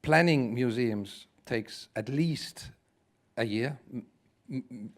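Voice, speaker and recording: A man's voice: mid-range voice, takes it slowly. A man speaking on a conference panel, reflective and thinking aloud in loose, searching phrases. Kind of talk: conversational panel discussion.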